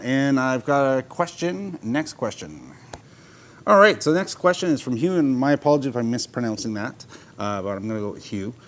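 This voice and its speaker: A man casually answering viewer questions as a host, talking off the cuff in a helpful, loosely phrased way. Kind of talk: casual, unscripted explanatory talk. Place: showroom. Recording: clear low rumbling background noise.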